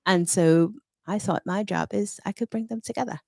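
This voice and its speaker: A woman's voice: medium pitch, normal speed, vocal fry, mellow. A middle-aged woman from Hong Kong, a fintech consultant, speaking as an interview guest in a confident, candid, storytelling way. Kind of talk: interview conversation.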